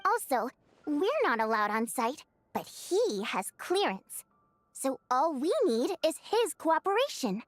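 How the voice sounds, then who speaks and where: A high, sing-song young rebel leader in a video game, bouncing all over her range as she briskly lays out a plan. She sounds confident and a little scheming. The recording is close and dry, with no room sound. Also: very animated, no room sound, soft background music. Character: upbeat young woman leading an underground group. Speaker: game character. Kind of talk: voiced video game dialogue.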